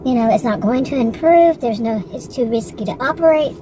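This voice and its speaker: A woman's voice: on the high side, a little fast, dark tone, a little hiss or hum, rough recording. Frustrated woman in her sixties, rambling. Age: 60s. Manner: rambling, frustrated, with many restarts and asides. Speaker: vlogger. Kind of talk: casual monologue to camera.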